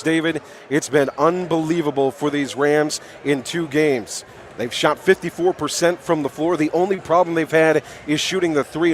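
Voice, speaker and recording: A man's voice: on the high side, a little hiss or hum. An American man hosting pregame basketball commentary on radio, emphatically rattling off statistics. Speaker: sports radio play-by-play host.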